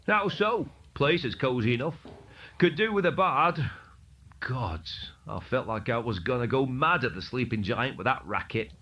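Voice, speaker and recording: A man's voice: medium pitch, expressive, unhurried, sharp tone. A man voicing a jovial, rowdy male thug who talks in a hearty, boisterous way. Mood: chatty. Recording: dull, distant lo-fi recording.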